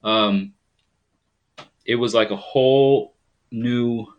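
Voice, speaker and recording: A man's voice: mid-range voice, steady tone, extremely slow, highs cut off. A man from rural New York hosting a live stream, chatting casually and rambling with frequent ums as he reads viewers' comments. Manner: greets viewers and reads their comments aloud.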